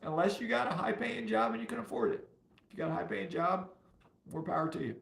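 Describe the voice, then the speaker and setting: A man in his fifties hosting a casual finance livestream, chatting with viewers and stressing key points by repeating them, his voice slightly hoarse. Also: high-pitched, fast, fairly bright tone. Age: 50s. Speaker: personal finance livestream host. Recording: roomy, echoey sound.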